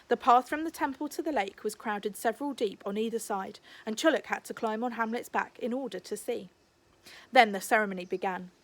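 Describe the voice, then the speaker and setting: A woman narrating a children's storybook aloud, like a teacher reading to a class. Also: a little high.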